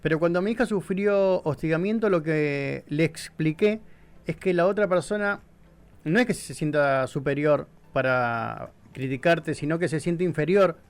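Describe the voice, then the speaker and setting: A woman's voice: sits low, normal speed. A woman, a radio co-host, reading a listener's message aloud.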